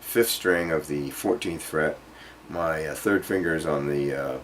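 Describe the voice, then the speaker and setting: A man's voice: rumbling low, measured pace, slight background noise. A man giving an informal guitar lesson, explaining piece by piece in a hesitant way as he demonstrates. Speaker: guitar teacher. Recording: loud guitar playing alongside voice. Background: acoustic or jazz guitar playing.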